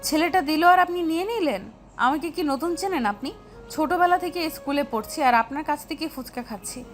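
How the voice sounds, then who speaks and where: A woman's voice: high-pitched, a little fast, natural voice. A woman playing a feisty, easily annoyed teenage schoolgirl in an audio story, with music underneath. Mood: angry, indignant. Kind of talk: Bengali audio story acting.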